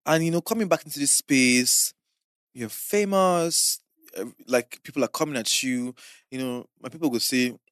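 A woman's voice: very deep voice, normal speed, no room sound, clean studio sound. A woman hosting a podcast, asking questions and murmuring agreement as her guest talks. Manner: encouraging, frequent murmured agreement. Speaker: podcast host. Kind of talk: casual interview.

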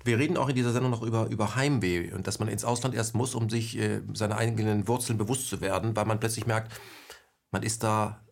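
A man's voice: fairly low pitch, steady tone, fast, creaky. Probing male radio interviewer. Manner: probing, circling back with follow-up questions.

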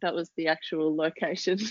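A woman's voice: low-pitched, fairly even intonation, moderate pace, no room sound. Laughing Australian woman, dry lo-fi sound. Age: 30s. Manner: chatty, laughs easily, many ums. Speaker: podcast guest. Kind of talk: casual interview chat.

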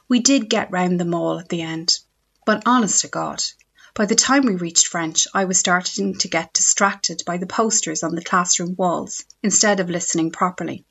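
A woman's voice: mid-range voice, fairly bright tone, lo-fi sound. An Irish woman reading her own humorous radio column in a wry, self-mocking storytelling manner. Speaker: radio essayist.

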